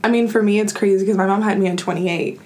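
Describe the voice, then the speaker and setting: A woman's voice: mid-range voice, steady tone, fast talker, darkish tone. A young woman from Cuba chatting casually and bluntly as a podcast guest. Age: young adult. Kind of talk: casual chat.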